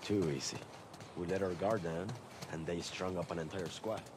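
A man's voice: low-pitched, steady tone, moderate pace. An actor playing a wary, hard-nosed male soldier in a video game, speaking curtly and defensively. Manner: curt, defensive. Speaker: game character. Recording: game audio with noise behind.

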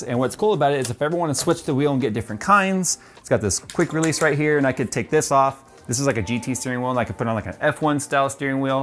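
Chatty man giving a room tour. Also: medium pitch. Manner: chatty, casual, many ums and restarts. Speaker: tech YouTuber. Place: home game room, indoors. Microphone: lapel mic.

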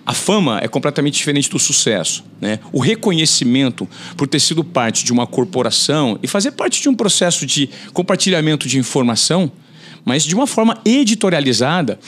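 A man in his forties, a journalist guest on a podcast, talking in an animated way and acting out quotes in a raised voice, recorded in a studio on a boom-arm mic. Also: a little fast. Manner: animated, gestures a lot, acts out quotes in a raised voice. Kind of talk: conversational interview. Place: podcast studio with brick wall.

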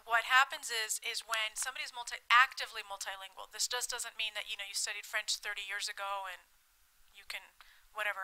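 Russian-born woman on a panel, bright, slightly high voice, lively and talking with her hands at a table mic. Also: low-quality recording. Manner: animated, talks with her hands. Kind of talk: panel discussion. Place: conference room with audience. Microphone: table mic on stand.

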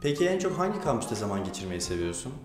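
A man's voice: lowish voice, big pitch swings, fast. A man putting scripted questions as an interviewer. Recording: roomy echo with music behind. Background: background music.

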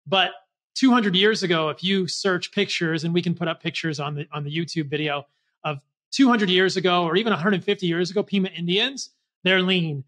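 A man's voice: sits high, a little fast, a bit bright. A man in his forties talking conversationally as a podcast host, close to a desk microphone. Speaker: doctor. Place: small home office, indoors.

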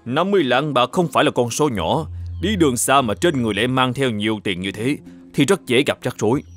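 Man acting an authoritative, animated provincial governor. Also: medium pitch, faint music behind, lightly acted. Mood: grave, composed. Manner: authoritative, measured, formal. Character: upright, determined provincial governor and magistrate. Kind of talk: animated folk tale dubbing, formal.

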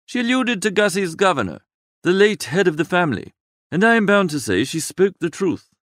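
A man's voice: high-pitched, very animated, fairly quick, a bit bright, no room sound, clean studio sound. A man playing a flippant, languid young upper-class idler, narrating a comic audiobook in a wordy, breezy, droll way.

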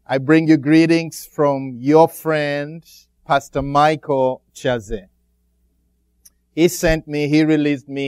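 Clear-voiced Ugandan man preaching. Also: medium pitch, a little mellow, no room sound. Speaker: guest pastor preaching. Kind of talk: church greeting and sermon. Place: speaking to a congregation in a church.

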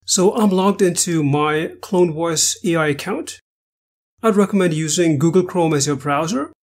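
A man presenting a software tutorial, narrating each step in an instructional way. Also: sits high. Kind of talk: scripted screen-capture tutorial narration.

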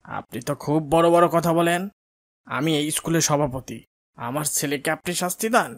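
A man's voice: on the high side, moderate pace, lightly acted. A man playing an arrogant, threatening local councillor and angry father in a cartoon. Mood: indignant, threatening. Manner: haughty, threatening, scolding. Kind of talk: cartoon dialogue acting.

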